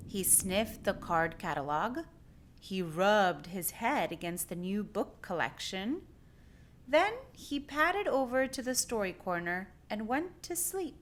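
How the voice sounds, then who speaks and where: A woman reading a children's storybook aloud in an expressive, deliberate narrating style. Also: mid-range voice.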